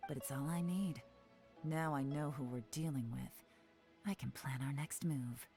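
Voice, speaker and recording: Brief: a poised game spy, low and mellow voice, soft music behind. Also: female voice. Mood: assured. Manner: calm, measured, knowing. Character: cool, composed woman intelligence agent. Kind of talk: game dialogue.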